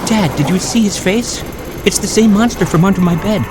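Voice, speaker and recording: A man voicing a frightened young boy in a panicked, high-pitched voice for an audio horror story. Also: a little fast, heavy background noise, close to an everyday voice. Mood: terrified. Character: frightened young boy pleading with his dad.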